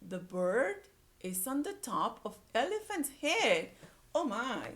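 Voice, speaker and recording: Slow, animated woman teaching young readers. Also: fairly high pitch. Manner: repeats words and phrases, coaxing listeners with questions. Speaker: early-grade reading teacher. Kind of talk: read-aloud lesson for young children.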